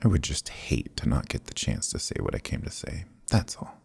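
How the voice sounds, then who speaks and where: Male actor as sly fantasy rogue for ASMR roleplay: extremely low, gravelly voice, glib courtly flattery, evasive pauses. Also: no echo, exaggerated voice. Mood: charming, defensive. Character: sly, charming adventurer thief, smooth-talking and flattering. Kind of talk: fantasy ASMR roleplay acting, close and soft.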